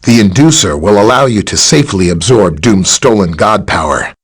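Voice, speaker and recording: An actor playing a helpful, earnest scientist superhero in a video game, explaining technical matters in a friendly way. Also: male voice, lowish voice, lively intonation, moderate pace, husky. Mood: explanatory. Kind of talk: scripted game dialogue, explaining and briefing.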